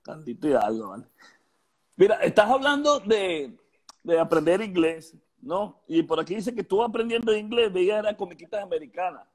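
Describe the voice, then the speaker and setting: Older Venezuelan man hosting a video-call chat from his home, his voice husky and fairly high for a man, joking and stretching words out for emphasis. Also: sing-song. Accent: Venezuelan Spanish. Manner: chatty, joking, drawn-out emphatic phrases. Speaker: host. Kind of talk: casual live-stream interview. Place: home room with shelves, indoors. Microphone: video call webcam.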